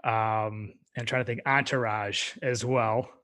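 A man's voice: fairly low pitch, fairly even intonation, slow, pushed and bright. A man hosting a podcast, casual and friendly, with many fillers and restarts. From United States. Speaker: podcast host. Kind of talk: casual interview chat.

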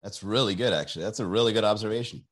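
A man's voice: on the low side, racing. A man hosting a casual, profane live stream, joking loosely and chatting with viewers.

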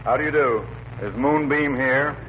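A man acting a florid, mocking gentleman with grandiloquent diction in a 1940s radio comedy. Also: medium pitch, moderate pace, close to an everyday voice. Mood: mockingly courtly. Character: pompous, florid, mocking gentleman stranger, mildly affronted. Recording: tinny, noisy old radio recording.

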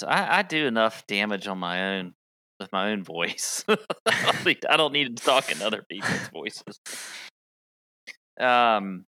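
A man's voice: medium pitch, sharp tone, no echo. A man co-hosting a casual golf livestream, joking around, asking questions and giving blunt takes. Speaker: golf show co-host.

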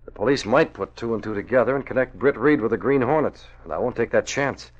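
An actor playing a calm, assured male hero who plans a crimefighting scheme, in a dull old radio recording. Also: mid-range voice, fast talker, natural voice. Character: calm, confident male publisher and masked crimefighter. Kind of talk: 1940s radio acting.

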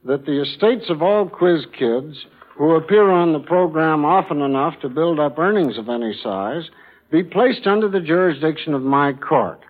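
A man's voice: on the high side, expressive, moderate pace, phone-quality sound. An American man speaking formally and courteously as a guest quizmaster, in prepared talk on a 1940s radio broadcast. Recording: thin band-limited 1940s radio broadcast.

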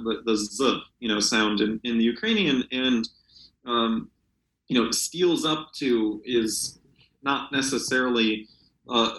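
A man speaking as a literary translator in an unscripted interview, halting and often searching for words. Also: medium pitch, pushed and bright, roomy sound, poor audio.

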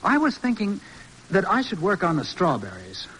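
An actor playing a polite, simple, hesitant young son who loves farm life, in an old-time radio drama. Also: male voice, sits high, huge pitch swings, normal speed, a bit bright, slight background noise, heavily acted, low-quality recording. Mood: meek, obedient.

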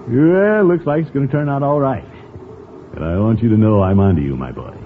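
An actor playing a pompous, sociable middle-aged uncle in a 1950s radio sitcom, theatrical and avuncular. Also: male voice, medium pitch, lively intonation, brisk, close to an everyday voice. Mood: knowing. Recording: tinny, noisy old radio recording.

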